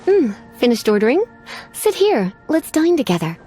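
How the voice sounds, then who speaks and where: A woman's voice: high voice, sing-song, moderate pace, warm tone, faint music behind. An actress playing a flirtatious, teasing witch librarian with a coy, genteel manner in a video game. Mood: teasing, indulgent. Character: flirtatious, languid adult witch librarian, teasing, quietly menacing. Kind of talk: video game character acting.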